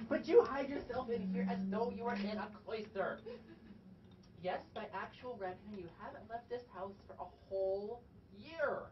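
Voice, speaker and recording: Elderly household servant played by a woman, fussy and coaxing, high theatrical delivery, recorded far from the mic. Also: lo-fi sound, natural voice. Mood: coaxing, chiding. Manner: exclamatory, dramatic, coaxing. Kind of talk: theatrical stage acting. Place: theatre stage, picked up from a distance. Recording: distant stage recording with clear background noise.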